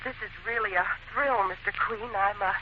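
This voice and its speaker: A woman's voice: mid-range voice, brisk, close to an everyday voice. An actress playing a polite, chatty woman at a studio in a 1940s radio drama. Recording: dull, noisy old radio broadcast.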